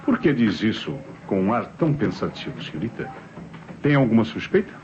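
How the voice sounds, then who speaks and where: A man's voice: fairly low pitch, big pitch swings, a little mellow, faint music behind. A man with a Brazilian accent acting a shrewd police inspector in an old radio crime drama, formal and courteous as he probes. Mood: probing, courteous. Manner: formal, probing, deliberately courteous. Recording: dull, noisy old radio drama recording.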